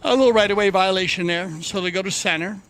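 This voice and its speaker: A man's voice: high-pitched, quick pace, a bit bright, rough recording. A man giving live polo match commentary in a loose, chatty style. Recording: clear background ambience noise.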